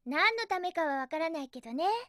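A woman's voice: very high voice, lively intonation, fast, dry recording, studio quality. A woman voicing a cheerful, feisty young hedgehog girl in a video game, with a girlish voice and a perky, sassy, emphatic delivery. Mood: curious. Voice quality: bright, girlish. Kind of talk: Japanese video game voice acting.

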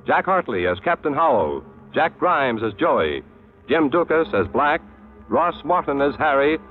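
Tinny old-time radio announcer, a man. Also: medium pitch. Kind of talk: 1950s radio credits announcement.

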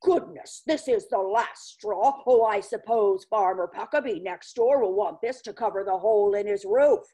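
A woman's voice: medium pitch, moderate pace, dark tone, slightly rough, lightly acted. A woman voicing a grumpy, greedy man in a children's story, blustering and dismissive. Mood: exasperated. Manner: exclaiming, grumbling, blustery.

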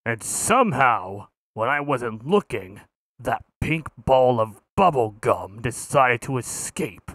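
A man's voice: mid-range voice, big pitch swings, fairly bright tone, dry recording, heavily acted. A man voicing an arrogant, cruel martial arts villain with demonic power, gloating with contempt in video game taunts. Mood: menacing, gloating. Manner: contemptuous, slow, deliberate gloating.

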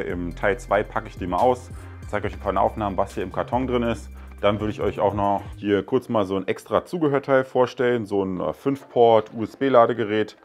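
A man in his thirties giving a casual, chatty product review at home, explaining step by step with a few fillers. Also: lowish voice. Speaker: tech reviewer. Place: living room indoors.

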